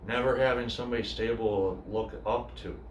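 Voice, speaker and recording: A man performs a subdued, grieving cook in a naturalistic dramatic monologue. He speaks quietly and hesitantly, with broken pauses. The room around him is echoey, with faint noise. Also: medium pitch, steady tone, far from the mic, lightly acted. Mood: hurt, confessional. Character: grieving male cook, subdued, haunted by his brother. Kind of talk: dramatic monologue, naturalistic screen-style acting.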